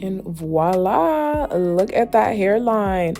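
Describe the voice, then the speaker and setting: A young adult woman with a slightly hoarse, raspy voice, giving a chatty, emphatic beauty tutorial as a casual voice-over. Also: medium pitch, animated, slow, mellow. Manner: chatty, emphatic, addresses viewers familiarly. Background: background music with guitar.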